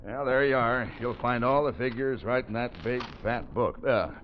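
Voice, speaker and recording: An actor playing an easygoing, hospitable mine manager, in 1950s radio drama style. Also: male voice, mid-range voice, normal speed, natural voice. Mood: genial. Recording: dull, faintly noisy vintage radio recording.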